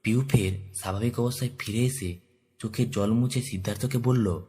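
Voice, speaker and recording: A man's voice: lowish voice, steady tone, normal speed, slight background noise. A man with a Bengali accent reading scripted narration for a romantic audio story. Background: soft background music.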